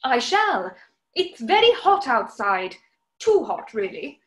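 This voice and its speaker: Woman as eccentric interviewee, very high voice, echoey room, chatty, comic radio vox pop. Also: very animated, slow pace, a bit bright, full character voice. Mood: prim, chatty. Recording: roomy echo.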